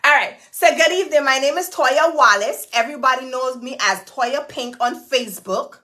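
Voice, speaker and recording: A woman's voice: high-pitched, normal speed, sharp tone. A woman hosting a casual livestream, greeting viewers by name and repeating herself in a chatty, hyped-up way, talking into her phone. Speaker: host of a livestream. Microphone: phone camera.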